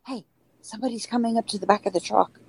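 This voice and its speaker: A woman playing a carefree, reckless joyrider in an audio drama, with a lively conversational delivery. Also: mid-range voice, fast talker, vocal fry, slightly rough, low-quality recording. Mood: eager. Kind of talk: amateur audio drama dialogue.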